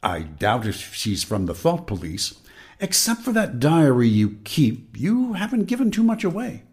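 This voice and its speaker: A man's voice: on the high side, wildly swooping pitch, a little slow, darkish tone. A man acting in an audio drama as the wary, cautioning inner voice of a fearful man. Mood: suspicious. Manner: cautioning, conspiratorial.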